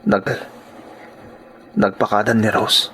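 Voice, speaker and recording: A man's voice: lowish voice, fairly even intonation, slow pace, sharp tone, rough recording. A man playing a wounded young new father and dutiful son in an audio drama, earnest and plaintive. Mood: sorrowful, dutiful. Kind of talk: Ilocano serial audio drama acting.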